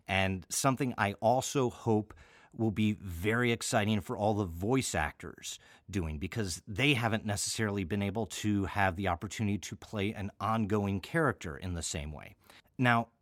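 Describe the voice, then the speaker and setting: A man's voice: fairly low pitch, no room sound, clean studio sound. An American man hosting an audio theater podcast, talking conversationally in a wry, chatty manner with playful asides. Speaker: host and artistic director of an audio theater. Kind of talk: conversational podcast introduction to a show's season.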